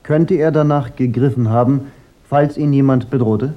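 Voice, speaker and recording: A man's voice: mid-range voice, natural voice. Man acting a laconic, cool private detective, slightly muffled. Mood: dry, probing. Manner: laconic, dry, measured. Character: cool, sardonic private detective, unmasking killers with weary confidence. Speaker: detective and first-person narrator. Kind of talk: German radio crime drama acting.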